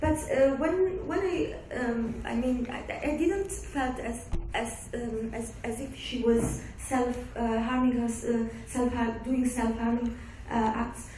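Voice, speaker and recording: Woman from Somalia, guest author, halting and searching for words, mellow low-bright voice, very echoey lecture room, mic far off. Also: a little hiss or hum. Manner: halting, many restarts and fillers. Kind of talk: answering audience questions.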